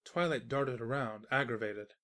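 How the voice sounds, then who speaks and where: A woman narrator reading a scripted comedic story in an expressive, wry storyteller manner. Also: very deep voice, normal speed.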